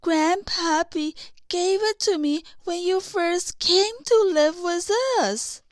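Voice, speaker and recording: A woman's voice: extremely high pitch, slow, no echo, clean studio sound, voice pushed up. A woman voicing a fond, wistful, motherly female troll in a children's storybook reading. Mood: sad, wistful.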